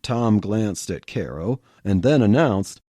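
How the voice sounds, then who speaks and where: A man's voice: on the low side, lively intonation, slow pace, mellow, slightly rough, dry recording, studio quality. A man reading story narration for a fiction podcast in plain storytelling manner. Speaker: podcast reader.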